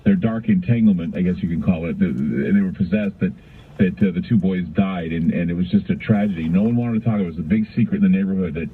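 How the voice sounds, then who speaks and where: Tinny, low-voiced American radio host. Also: male voice, fast talker, slight background noise. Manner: rambling, conversational, repeats phrases. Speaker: radio talk show host. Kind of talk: call-in radio storytelling. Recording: tinny, narrow-band radio sound.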